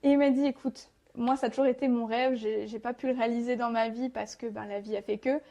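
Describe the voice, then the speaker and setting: Higher-pitched young Frenchwoman telling her story. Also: fairly even intonation, fast, warm tone, highs cut off. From France. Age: 20s. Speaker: podcast guest. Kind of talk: casual personal storytelling.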